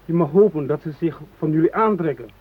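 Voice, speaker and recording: An actor playing a threatening, embittered train hijacker in a radio drama, speaking with menace and contempt. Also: male voice, fairly high pitch, a little fast, slightly rough. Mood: menacing, bitter. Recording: muffled phone-like old radio recording.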